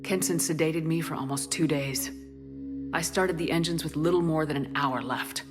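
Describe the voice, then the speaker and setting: A woman's voice: deep voice, moderate pace, over music, close to an everyday voice. An actress playing a tough, resolute female military commander in a sci-fi video game, terse and matter-of-fact. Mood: guarded, firm. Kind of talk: video game dramatic acting.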